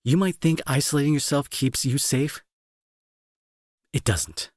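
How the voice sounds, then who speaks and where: A man playing a remorseful, protective bully with a grieving streak, in an intimate dramatic audio roleplay with halting, earnest delivery and a husky, breathy voice. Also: on the high side, no echo, clean studio sound. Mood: earnest, consoling. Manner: halting, emotional, earnest pleading. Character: angry male bully turned remorseful, protective, grieving brother.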